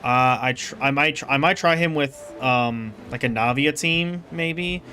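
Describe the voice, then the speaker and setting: A man's voice: medium pitch, measured pace, pushed and bright, a little hiss or hum. A man giving casual, rambling game commentary, thinking aloud as he streams.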